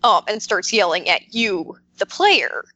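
A woman's voice: mid-range voice, big pitch swings, a little slow, bright, forceful tone, no room sound, highs cut off. A woman chatting casually as a podcast panelist, telling things in a hesitant, filler-heavy way. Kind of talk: casual roundtable chat.

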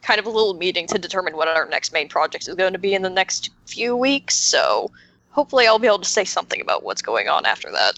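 A woman's voice: lowish voice, quick pace, low-quality recording. A woman on a podcast panel chatting very informally, often acting out her own reactions and peppering her talk with "like". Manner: informal, animated self-quoting, frequent "like". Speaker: podcast panelist, game creator. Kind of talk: casual chat.

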